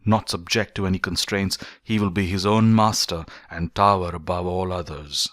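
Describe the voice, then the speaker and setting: A man reading a philosophical essay as an audiobook narrator, in measured, deliberate phrases with emphatic stresses. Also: low-pitched, fairly even intonation, fairly bright tone, no room sound, clean studio sound.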